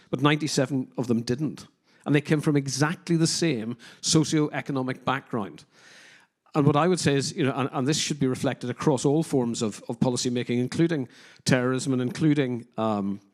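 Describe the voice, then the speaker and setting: A man with a Northern Irish accent, speaking as a criminologist on a conference panel, forceful and opinionated with frequent fillers and restarts. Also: mid-range voice. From Northern Ireland.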